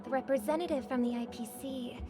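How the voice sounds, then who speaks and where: An actress voicing a gracious young idol in a video game, speaking politely with composed, encouraging reassurance. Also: female voice, sits high, fast talker, music in the background, natural voice. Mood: gracious, reassuring. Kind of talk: scripted video game dialogue.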